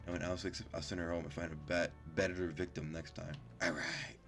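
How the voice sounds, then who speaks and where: A man with a very low voice hosts a casual gaming video. He reads on-screen story text aloud, tripping over words and cutting in with blunt, incredulous, sweary asides. Faint game music plays behind him. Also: level tone, brisk, a bit bright. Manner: stumbles over read-out words, breaks off into profane, incredulous reactions. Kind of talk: casual let's play, reading game text aloud with commentary.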